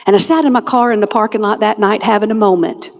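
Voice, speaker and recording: A woman with a Southern US accent, from Georgia, gives a humorous motivational keynote on a stage mic, telling stories with dramatic pauses and near-whispered punchlines. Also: mid-range voice, fairly even intonation, creaky. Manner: dramatic storyteller, drops to a near whisper on key lines, comic asides. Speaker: motivational keynote speaker and comedian. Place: conference hall stage. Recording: long reverb of a large hall.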